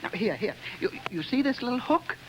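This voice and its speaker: A man's voice: very high-pitched, big pitch swings, fairly quick, dull audio. A man playing an unhinged bomber who stammers, giggles and talks like a child, in old-time radio drama style. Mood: gloating. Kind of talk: 1930s radio drama acting. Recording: old radio recording with noise.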